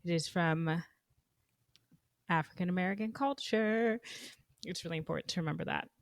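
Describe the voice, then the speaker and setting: A young adult woman from Zambia talks casually as a podcast co-host, her voice sitting low with a gravelly edge. She is expressive and playful, drifting through fillers as she goes. The sound is dry and close to the mic. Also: normal speed, dry recording. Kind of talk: casual chat over a video call.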